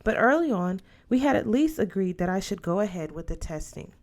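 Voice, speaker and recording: A woman's voice: medium pitch, sing-song, fairly quick, a little mellow. A woman reading a novel aloud as a scripted narration for young listeners. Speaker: audiobook reader.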